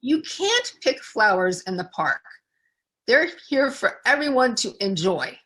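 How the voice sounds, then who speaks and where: A woman's voice: a little high, big pitch swings, unhurried, a bit bright, low-quality recording. Woman acting out a stern, scolding policeman. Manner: stern, commanding. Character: stern, annoyed policeman scolding a little girl.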